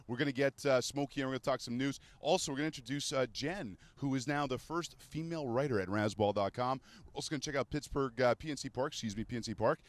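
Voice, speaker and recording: Fast-talking upbeat male host outdoors. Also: medium pitch. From Montreal, Canada. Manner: upbeat, chatty, jokey. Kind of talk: casual on-location show hosting. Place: outdoors by a river in a city.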